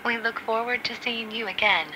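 A woman's voice: on the high side, fairly even intonation, measured pace, sharp tone, low-quality recording. A woman making a scripted, formal inflight announcement as a flight attendant over the cabin PA. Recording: cabin PA with faint noise. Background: cabin noise.

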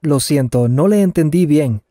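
A man's voice: fairly high pitch, animated, dark tone, dry recording, clean studio sound. A man playing a polite traveler in a scripted language lesson, enunciating each phrase with care. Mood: polite, friendly. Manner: deliberate, carefully enunciated. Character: polite Canadian traveler practicing his Spanish. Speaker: voice actor in language lesson dialogues. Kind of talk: scripted learner dialogue, each phrase said slowly then at normal speed.

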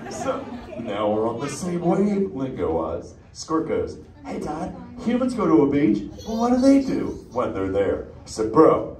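A man's voice: fairly high pitch, huge pitch swings, unhurried, a little mellow. A man voicing a laid-back surfer-dude male sea turtle in a live interactive audience show, talking in easygoing surfer slang. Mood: stoked. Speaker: live theme park show character. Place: indoor theater with an audience. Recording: echoey theater from far off, crowd noise.

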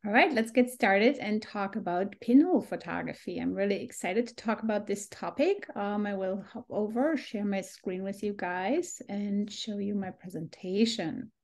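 A woman's voice: medium pitch, measured pace, darkish tone. A middle-aged woman from Germany with a German accent, giving a chatty, enthusiastic photography lesson on a video call with frequent ums. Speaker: photography workshop teacher. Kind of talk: live online presentation to a small group. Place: home room on a video call. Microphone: video call computer mic.

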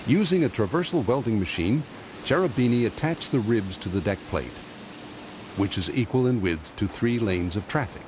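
Male narrator, lowish, lively intonation, measured voice-over, music bed. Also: background noise. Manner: measured, explanatory delivery. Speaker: documentary narrator. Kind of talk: scripted narration.

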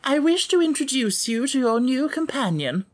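A man's voice: very high voice, sing-song, normal speed, background noise, pitched above the performer's own voice. A man voicing a severe, imposing headmistress for an audiobook, stiff, formal and tartly polite. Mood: dignified, commanding. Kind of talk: audiobook character voice.

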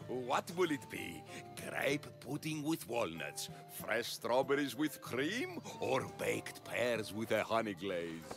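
Wildly animated sweets merchant. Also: male voice, medium pitch, slow, vocal fry, exaggerated voice. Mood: eager, enticing. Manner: sales patter. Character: eager, theatrical male sweets merchant, sing-song salesman. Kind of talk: video game cutscene acting. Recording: music bed under the dialogue.

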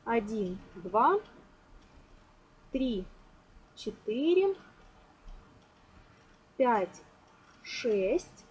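A woman's voice: fairly high pitch, big pitch swings, extremely slow, long pauses, a little mellow, husky. A woman giving a step-by-step craft tutorial in a reassuring, instructional way, often counting aloud. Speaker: crochet tutorial host. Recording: noisy home recording.

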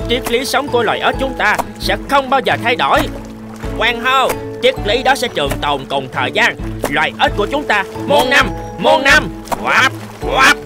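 A very high-pitched, excitable cartoon frog, zealous and declaiming. Music plays clearly behind the voice. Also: male voice, normal speed. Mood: rallying. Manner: chanting, declaiming. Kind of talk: cartoon voice acting.

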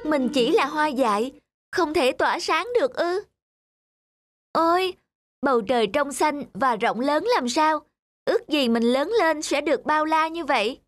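A woman's voice: high-pitched, animated, measured pace, no room sound. A woman voicing a naive little wild flower in a children's cartoon, full of wistful wonder.

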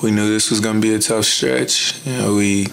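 A young man and college basketball player answering press conference questions in a measured, blunt way, with many "you know"s, into a table mic in an indoor press room. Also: on the low side, steady tone, vocal fry, a bit bright, low-quality recording. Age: young adult. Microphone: table mic in front.

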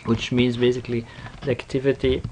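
A man giving an unscripted software tutorial, explaining step by step in a halting, repetitive way. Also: mid-range voice, level tone, poor audio. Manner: explanatory, halting, repeats himself. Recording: noticeable background noise.

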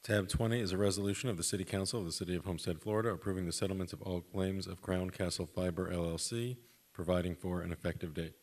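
A man reads resolution titles into the record at a city council meeting. His voice is very deep, and he races through the legal text. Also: fairly even intonation, darkish tone. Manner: rapid legal reading. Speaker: city attorney reading agenda items. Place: council chamber.

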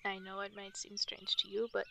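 A woman plays a guarded, protective female wolf fairy in an ASMR roleplay. Her voice is mid-pitched and bright, kept hushed and often whispered, with halting phrases. Faint birdsong and forest ambience sit behind her. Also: level tone, creaky, rough recording. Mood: calm, reserved. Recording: nature ambience and birdsong noise. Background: forest sounds, birdsong.

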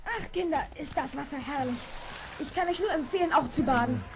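A young female performer playing a cheeky, excitable girl in a children's radio play. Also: sits high, a little fast. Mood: lively, bossy.

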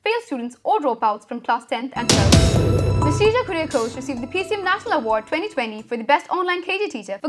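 Fast-reading young woman news anchor. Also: high voice. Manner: brisk, even headline delivery. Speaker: student news reader.

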